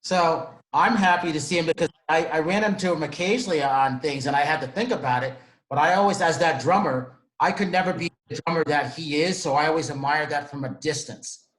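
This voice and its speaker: Host, man, relaxed and directing, on a video call in a roomy-sounding space. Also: on the high side, a little fast, hardly any pauses. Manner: directs the show, easygoing. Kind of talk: hosting a video call show. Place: home room. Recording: echoey room sound.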